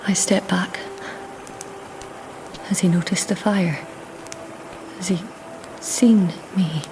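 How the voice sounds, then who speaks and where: A slow, low-voiced guarded young goddess. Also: female voice, steady tone, noisy background. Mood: hushed wonder. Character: cursed young goddess's daughter, proud, lonely, guarded. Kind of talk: audio drama acting with first-person narration.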